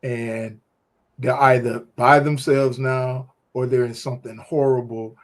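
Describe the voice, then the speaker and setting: A man's voice: mid-range voice, level tone, unhurried. A man co-hosting a casual faith-based talk show, speaking emphatically and animatedly, with a preachy storytelling manner. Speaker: podcast co-host.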